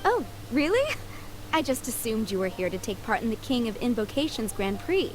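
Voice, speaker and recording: A woman's voice: on the high side, very animated, moderate pace, poor audio. An actress playing a friendly, poised young townswoman in a video game, chatting in a polite, breezy, helpful way. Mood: teasing.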